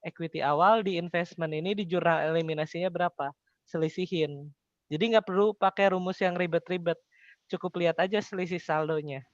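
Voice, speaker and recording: A man's voice: fairly high pitch, steady tone, a little fast, no echo, highs cut off. A young man tutoring informally, explaining step by step and often asking questions he then answers. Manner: poses questions then answers them, colloquial. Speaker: accounting tutor.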